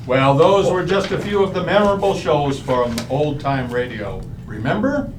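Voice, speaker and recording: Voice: mid-range voice, expressive, moderate pace, echoey room, far from the mic, background noise. An announcer giving a scripted introduction in old-time radio style.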